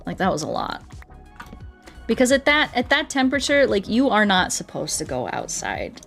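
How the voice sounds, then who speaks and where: A woman's voice: mid-range voice, huge pitch swings, moderate pace, gravelly, a bit bright. A young American woman chatting casually on a livestream, rambling along and acting out bits of what people said. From United States. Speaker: streamer. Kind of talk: casual livestream chat while gaming. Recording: music playing behind the voice. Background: background music.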